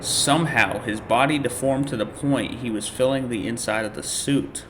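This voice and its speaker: A man hosting a reaction video, casually commenting and reading captions aloud in a halting, thinking-aloud way. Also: mid-range voice, slight background noise.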